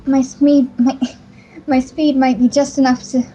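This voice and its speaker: Woman playing a high-voiced, defiant young heroine. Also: little pitch movement, unhurried, dark tone. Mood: wary. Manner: challenging, scornful. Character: eighteen-year-old female champion, defiant, indignant, wary.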